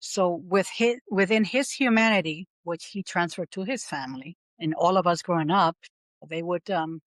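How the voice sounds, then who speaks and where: A woman's voice: lowish voice, no echo. Earnest woman telling her story. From Puerto Rico. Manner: earnest storytelling with frequent "um" pauses. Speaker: guest. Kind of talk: podcast interview.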